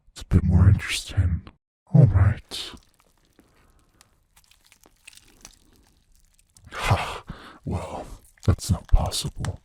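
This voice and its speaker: A man playing a gleefully cruel monster from under the bed in an intimate ASMR audio roleplay, taunting and menacing in a breathy, whispery voice. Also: low-pitched, warm tone, exaggerated voice. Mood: teasing, condescending. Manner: slow, taunting, menacing, savoring words.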